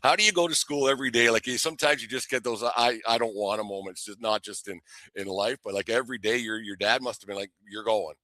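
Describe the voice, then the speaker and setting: Male sports host, folksy and jokey, halting delivery, bright edge, dry close sound. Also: on the low side, steady tone, no echo. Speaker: host of a local baseball show. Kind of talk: casual sports interview.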